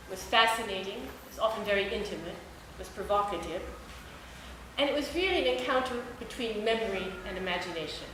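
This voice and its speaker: A woman, a book editor, giving a measured, prepared literary introduction at a public event, with deliberate phrasing. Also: mid-range voice, lively intonation. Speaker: book editor speaking at a launch. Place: echoey indoor room at a public event. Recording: echoey room recording with clear background noise.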